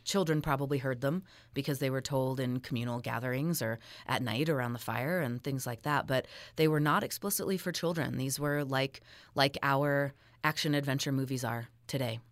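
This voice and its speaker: A woman's voice: rumbling low, fairly quick, dry recording. A woman talks as an academic guest in an interview, with very little room sound. She explains in an informal, fluent way with a wry touch. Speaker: expert guest, folklore academic.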